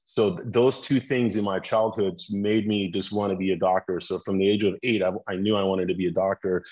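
A middle-aged man from Iran, an orthopedic surgeon, telling his story as a podcast guest in a measured way with frequent restarts. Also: deep voice, fairly even intonation, a little mellow, husky, muffled recording.

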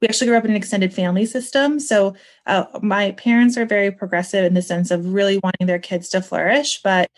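A woman's voice: mid-range voice, steady tone, fast talker. A woman in her thirties from Pakistan and Northern California, talking casually as an interview guest. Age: 30s. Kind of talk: casual podcast conversation.

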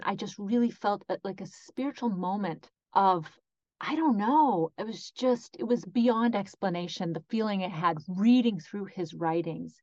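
Woman interpreter, mid pitch, measured phrasing, dry and slightly dull recording. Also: darkish tone, dry recording. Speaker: sign language interpreter voicing the guest.

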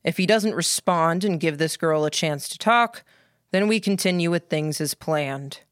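A woman voicing a quiet, hard, calculating male military commander in an audiobook. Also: on the low side, unhurried, dry recording, clean studio sound, natural voice. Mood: commanding.

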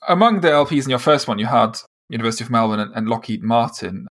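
A man interviewing a guest, asking quick, upbeat questions. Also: mid-range voice, expressive, fairly bright tone.

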